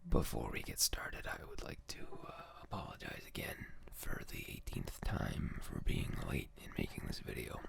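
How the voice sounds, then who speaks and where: A young man hosting an ASMR channel, softly whispering a poetry reading, hesitant and full of fillers. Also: very deep voice, wildly swooping pitch, moderate pace, creaky, few pauses.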